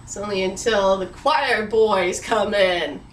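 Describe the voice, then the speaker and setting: A woman co-hosting a puppet review with excitable, sarcastic comedic banter. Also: medium pitch, slow pace, a little hiss or hum. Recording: roomy, echoing home recording.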